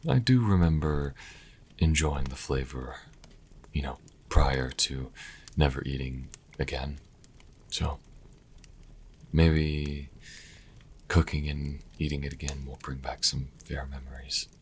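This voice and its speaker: A man playing a proud, wry vampire healer in an intimate audio roleplay, speaking to the listener with haughty, deliberate pauses. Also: a little mellow, slight background noise, heavily acted. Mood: proud, reluctant. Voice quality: very deep, husky, breathy at times. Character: sassy male vampire healer, proud, wry, grudgingly charitable.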